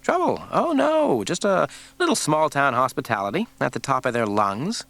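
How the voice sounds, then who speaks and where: A man's voice: a little high, animated, moderate pace, creaky, a bit bright, dry recording, voice pushed up, exaggerated voice. A man voicing a skeptical young female federal agent who speaks sharply and sarcastically. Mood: sarcastic.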